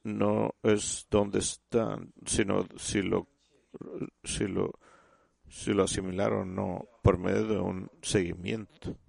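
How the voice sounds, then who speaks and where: A man giving a sermon in halting speech, often restarting and repeating words as he teaches the congregation. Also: low voice, flat delivery, gravelly, a little mellow, no echo. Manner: addresses listeners as "usted". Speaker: church speaker.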